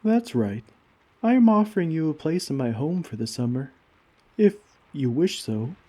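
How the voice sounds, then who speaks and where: A man's voice: a little high, sing-song, normal speed, warm tone. A man playing a kindly giant in an ASMR comfort roleplay, speaking formally and tenderly to a tiny friend. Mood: warm, reassuring. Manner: formal, courteous, tender, old-fashioned wording. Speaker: roleplay audio performer.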